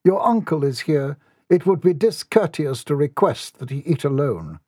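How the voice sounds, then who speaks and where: A man's voice: fairly high pitch, expressive, moderate pace, a little mellow, no echo, studio quality, pitched above the performer's own voice. A man voicing a formal, earnest butler with a firm manner in a storybook. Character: earnest, exasperated household butler, male.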